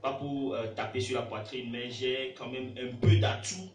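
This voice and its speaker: A man's voice: medium pitch, moderate pace. A man with a Togolese French accent giving casual, colloquial answers as a guest rapper in a radio interview. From Togo. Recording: echoey room, distant mic, faint music.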